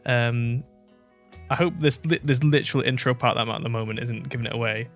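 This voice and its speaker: A man's voice: mid-range voice, a little fast. A British man talks his way through a video he is watching, musing aloud with frequent "um"s and restarts. His voice sits in an ordinary middle register. Faint music plays underneath. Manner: chatty, thinks aloud with fillers and restarts. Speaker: reaction video host. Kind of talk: casual reaction commentary.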